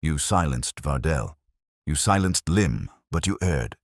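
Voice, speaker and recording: A man's voice: extremely low pitch, expressive, unhurried, no room sound, studio quality, exaggerated voice. A man voicing an imperious, shrewd detective in an audiobook, with formal, clipped, commanding pronouncements. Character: imperious, shrewd male detective, coldly judging.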